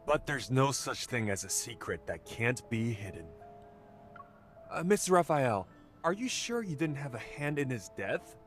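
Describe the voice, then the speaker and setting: A jumpy middle-aged gossip reporter in a game, nervous n dramatic, bit of music under it. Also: male voice, medium pitch, big pitch swings, unhurried, a bit bright. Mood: eager, hushed gossip. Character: anxious, gossip-hungry middle-aged male tabloid reporter. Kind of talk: English game voice acting.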